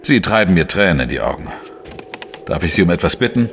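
An actor playing a dry, defiant investigative journalist in a radio play, with a sardonic, laconic manner. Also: male voice, low-pitched, big pitch swings, a little fast, vocal fry, a bit bright, a little hiss or hum, exaggerated voice. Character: dry, defiant male investigative journalist, narrating his case. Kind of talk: radio play dialogue and first-person narration.

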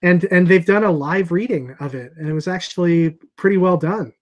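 A man's voice: sits high, fairly quick. A man, co-hosting a casual video show over a call from a small room on an earphone mic. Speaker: show co-host at a comic shop. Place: small room at home, indoors. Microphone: wired earphones on a video call.

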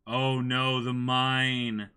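A man's voice: mid-range voice, level tone, drawn-out words, bright, forceful tone, clear, resonant tone. A man in his twenties co-hosting a live read-along, commenting and reacting casually as he goes. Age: 20s. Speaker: co-host reading a manga for a live stream audience. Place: indoor room against a backdrop.

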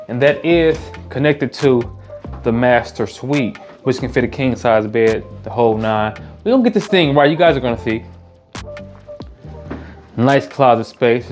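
Thirties guy, hyping up a house tour in empty rooms, laid back and repeating himself, faint music. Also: mid-range voice. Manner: casual upbeat hype, repeats phrases. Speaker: realtor and house flipper. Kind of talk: walkthrough house tour vlog.

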